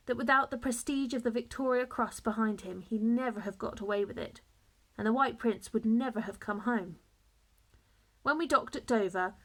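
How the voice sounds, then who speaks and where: A woman narrates a children's story with a fairly high voice. She reads like a teacher, measured and expressive, telling it in the first person. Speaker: teacher reading aloud.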